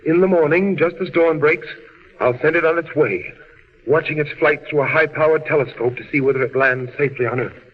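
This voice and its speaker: A man's voice: a little high, a little fast, lightly acted. A man acting in a 1940s radio drama, playing an earnest alien scientist and father facing doom, grave and theatrical. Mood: grave, reassuring. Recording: dull, very noisy old radio recording.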